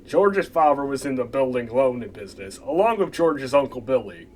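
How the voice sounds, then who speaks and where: A man playing a folksy, calm storytelling narrator who explains events in a radio play. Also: mid-range voice, normal speed, slight background noise, lightly acted. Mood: calm, explanatory.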